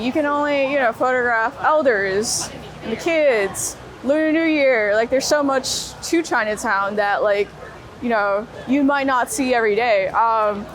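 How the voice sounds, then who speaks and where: Young woman chatting casually, higher-pitched voice, street noise. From Brooklyn, New York. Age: young adult. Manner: informal, slangy, frequent like and you know. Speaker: street photographer being interviewed. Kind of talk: casual chat while walking. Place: outdoors on busy city streets. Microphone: wireless clip-on mic. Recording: noisy city street with traffic.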